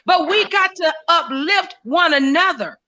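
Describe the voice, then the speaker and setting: A woman co-hosting a casual opinion talk show. Her voice sits high and sounds bright and pointed, and she speaks emphatically, restarting phrases as she pushes her point. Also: animated, measured pace, muffled recording. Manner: emphatic, many fillers and restarts.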